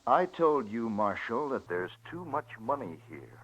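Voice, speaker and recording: An actor playing a crooked, brazen Old West card dealer in a 1950s radio western, slippery and protesting. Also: male voice, medium pitch, very animated, unhurried, a bit bright, slightly rough, heavily acted. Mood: defiant. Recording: dull, noisy vintage radio recording.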